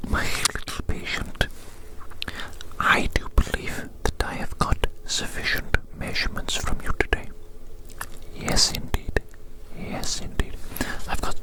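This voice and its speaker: A woman whispering in a breathy, unvoiced murmur for an ASMR roleplay, playing a fussy, eccentric doctor who repeats phrases. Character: fussy, eccentric scientist-doctor measuring a little patient.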